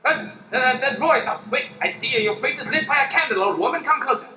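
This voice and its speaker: A very high-voiced male detective, played by an improv actor, theatrical and bent on revenge. He declaims in radio-play melodrama, heard from a distance on a roomy, noisy stage. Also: expressive, fast talker, pushed and bright, exaggerated voice. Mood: urgent, searching. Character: driven, vengeful male detective hunting his rival, melodramatic. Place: theater stage before an audience.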